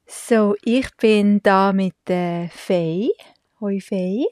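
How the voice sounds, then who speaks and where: A woman's voice: mid-range voice, steady tone, very slow, darkish tone, no echo, studio quality. A Swiss woman in her forties with a Swiss German accent, chatting casually to the camera as a mother and vlogger, laughing often and slipping in English words. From Switzerland.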